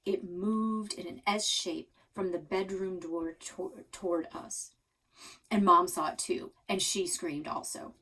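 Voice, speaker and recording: A woman narrating a children's novel aloud in an animated, dramatic, expressive style. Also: on the low side, slow, distant mic, rough recording. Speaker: storybook reader.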